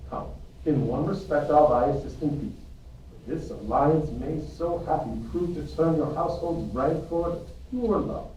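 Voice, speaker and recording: A man's voice: fairly high pitch, moderate pace, mellow, natural voice. A man playing a kindly, moralising friar mentor in an amateur Shakespeare reading. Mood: surprised, chiding. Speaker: English teacher. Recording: roomy, distant, dull, faint noise.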